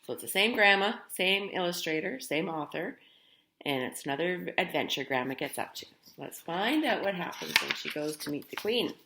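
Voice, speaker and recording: A woman's voice: lowish voice, normal speed, creaky, slight background noise, low-quality recording. A woman reading a children's picture book aloud expressively, talking to young listeners as she goes. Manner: animated, addresses child listeners with questions. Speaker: storybook reader.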